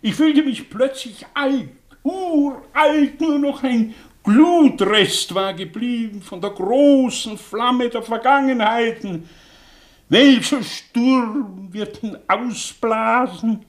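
An ageing, embittered professor in a radio play. The voice rides high for a man and moves slowly, with declamatory weight and a brooding, volatile temper. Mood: prophetic, agitated. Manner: declamatory, slow, dramatic. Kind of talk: 1960s German radio drama acting.

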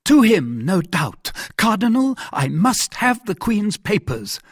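Man playing an agitated, high-pitched jealous king. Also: big pitch swings, slow, slightly rough, dry recording, clean studio sound, heavily acted. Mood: angry, imperious. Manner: heated, insistent, indignant outbursts. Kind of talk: dramatised classic novel reading.

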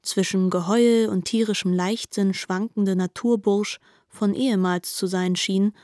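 A woman's voice: medium pitch, steady tone, a little slow, a little mellow, no echo, studio quality, close to an everyday voice. A woman narrating a literary radio drama in formal, articulate prose delivery.

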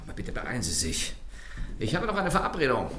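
An actor playing a suave, mocking French gentleman thief in a German radio crime comedy, theatrical and ironically polite. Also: medium pitch, huge pitch swings, brisk, noisy background. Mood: teasing.

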